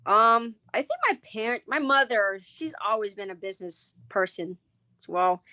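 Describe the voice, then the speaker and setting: A chatty woman in her forties, originally from Vietnam, talks through her answers with lots of fillers and restarts. Her voice sits at a middle pitch, and the recording is close and dry. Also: expressive, normal speed, bright, forceful tone, dry recording. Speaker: business owner guest. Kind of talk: casual interview answers.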